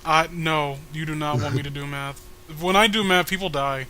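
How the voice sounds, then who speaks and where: A man's voice: on the high side, moderate pace, pushed and bright, slight background noise. A man giving casual, mocking commentary as a co-host, rambling, sarcastic and freely swearing. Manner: sarcastic, rambling, foul-mouthed. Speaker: co-host reading a webcomic aloud with commentary.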